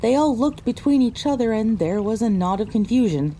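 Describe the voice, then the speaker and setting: A woman's voice: a little high, lively intonation, normal speed, mellow, a little hiss or hum. A woman narrating an audio drama in the first person as a frightened young female pony recounting her misadventure. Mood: dramatic recounting.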